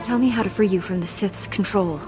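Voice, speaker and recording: An actress playing a principled, calm heroine knight in a video game, speaking in an earnest, measured way. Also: female voice, mid-range voice, music in the background, close to an everyday voice. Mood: earnest urgency.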